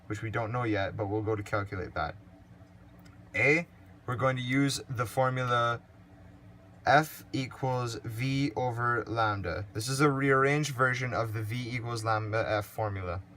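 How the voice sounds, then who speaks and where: A teenage boy slowly explaining worked physics calculations step by step for a school project. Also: mid-range voice, pushed and bright, slight background noise. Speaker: student presenter. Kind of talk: read-aloud worked calculations.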